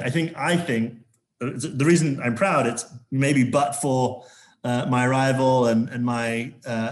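Man, from Zambia, interview guest, halting, many fillers, medium pitch. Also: a little mellow. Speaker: corporate sustainability executive.

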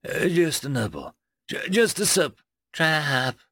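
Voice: medium pitch, big pitch swings, moderate pace, fairly bright tone, no room sound, studio quality, pitched above the performer's own voice. A man voicing a tiny, wary, spiteful male fey creature in an audiobook, speaking in broken, clipped phrases. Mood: wary, tempted. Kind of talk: audiobook character voice.

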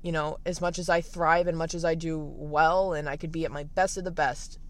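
A young man in his late teens with a raspy voice, candidly thinking aloud in a casual livestream from the beach, full of fillers and restarts. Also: a little high, little pitch movement, fairly quick. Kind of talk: reflective casual livestream monologue.

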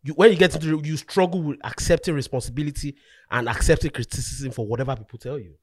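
A man's voice: fairly high pitch, fast, no echo, clean studio sound. A man hosting a podcast debate, talking emphatically and repeating himself to drive points home. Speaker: podcast host.

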